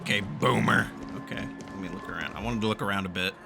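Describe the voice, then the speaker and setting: A man's voice: on the low side, quick pace, gravelly. A man giving casual, playful reaction commentary as the host of a gameplay video. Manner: animated, playful. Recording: game music behind the voice.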